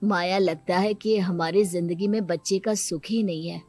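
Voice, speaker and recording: A woman voicing a mild, accommodating young husband in a moral story, measured and earnest, with music under the voice. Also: lowish voice, steady tone, darkish tone, lightly acted. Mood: downcast. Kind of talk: Hindi moral story character voice.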